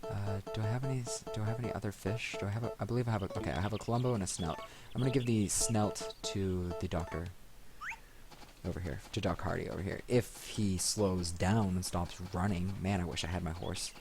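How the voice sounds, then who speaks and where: A man's voice: deep voice, fairly even intonation, a little fast. A man giving casual, rambling commentary as he plays a video game, recorded on a desk microphone. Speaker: let's play host. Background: game music.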